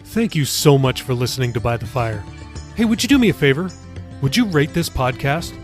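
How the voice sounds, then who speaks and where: A man's voice: medium pitch, very animated, fairly quick, soft background music. A man hosting a podcast, giving a chatty, informal, upbeat promo read. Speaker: podcast host.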